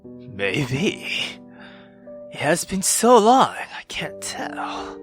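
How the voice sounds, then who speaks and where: A woman voicing a sinister male immortal who feeds on love, bitter and mocking, with slow menace that drops into whispers, in a visual novel. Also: low-pitched, wildly swooping pitch, soft background music, heavily acted. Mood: mocking calm. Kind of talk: dramatic visual novel read-aloud.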